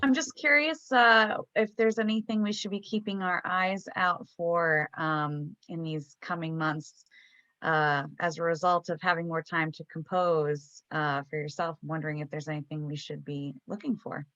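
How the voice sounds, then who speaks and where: A woman's voice: low voice, fairly even intonation, moderate pace, fairly bright tone, no echo, highs cut off. A woman asking a guest a polite question over a video call, a little hesitant, with fillers. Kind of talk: casual question.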